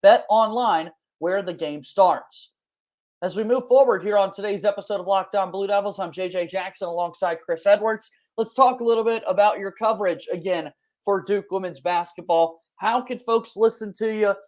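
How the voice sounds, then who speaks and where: A man's voice: sits high, normal speed. A man hosting a sports podcast, speaking in a conversational presenter's style. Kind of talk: conversational interviewing and scripted sponsor reads.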